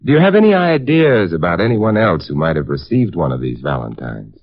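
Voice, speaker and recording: An actor playing a suave, wry gentleman detective with a dry, polite manner in a 1950s radio drama. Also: low-pitched, sing-song, a little fast, vocal fry, full character voice. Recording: dull lo-fi 1950s radio broadcast.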